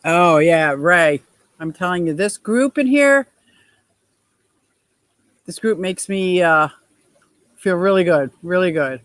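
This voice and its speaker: A woman in her sixties with a deep voice, hosting a casual livestream, reading viewer comments and laughing often in a gushing, playful way. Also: lively intonation, slow, long pauses, fairly bright tone, no room sound. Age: 60s. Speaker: livestream host.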